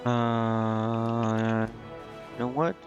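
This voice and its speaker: A man's voice: mid-range voice, monotone, extremely slow, highs cut off. A man giving casual gameplay commentary, indecisive and muttering to himself as he streams. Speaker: game streamer. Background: game music.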